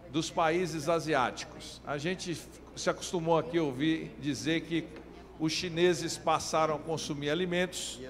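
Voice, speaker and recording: Middle-aged man on a conference mic, fairly high voice, hesitant delivery, long hall reverb. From Maranhão, Brazil. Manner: hesitant, many fillers and restarts. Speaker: keynote speaker. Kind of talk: conference presentation.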